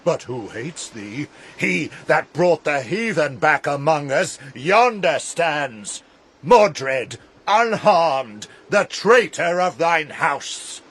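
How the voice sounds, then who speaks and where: A loyal knight devoted to his king. The voice is fairly high for a man and unhurried, with a formal, reverent delivery. It is classical radio drama in verse. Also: big pitch swings, a bit bright, slightly rough, exaggerated voice. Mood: devoted, earnest.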